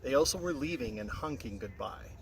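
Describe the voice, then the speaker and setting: A man reading a rhyming children's story aloud in an expressive, singsong way. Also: fairly high pitch, brisk, creaky. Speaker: storybook reader. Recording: very noisy, windy, distant-mic recording.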